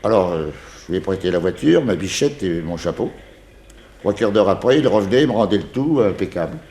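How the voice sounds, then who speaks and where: An actor playing a jovial, defensive horse-cab driver with folksy, blustering speech in a 1960s French radio serial. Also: male voice, low voice, expressive, a little fast, a little mellow. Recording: roomy old radio recording, faint noise.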